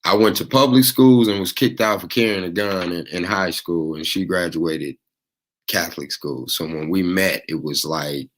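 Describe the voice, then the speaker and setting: Low-voiced male moderator from Indiana, easygoing, chuckles between remarks. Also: moderate pace, slight background noise. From Gary, Indiana. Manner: relaxed, laughing asides, thanks speakers by name. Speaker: panel moderator. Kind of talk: casual panel discussion.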